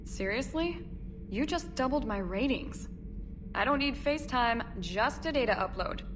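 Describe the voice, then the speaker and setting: A woman's voice: a little high, animated, measured pace. A woman playing a confident, eager war news reporter in a video game, punchy and assertive. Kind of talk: video game cutscene dialogue. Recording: clear background noise.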